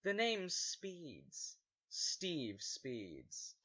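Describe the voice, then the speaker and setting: Vain, showboating hero with swooping pitch. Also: male voice, wildly swooping pitch, extremely slow, lo-fi sound, full character voice. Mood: boastful. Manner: pompous, theatrical boasting. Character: vain self-styled male hero witness, showboating and excitable. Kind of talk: amateur audio drama acting. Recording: loud music under the voice.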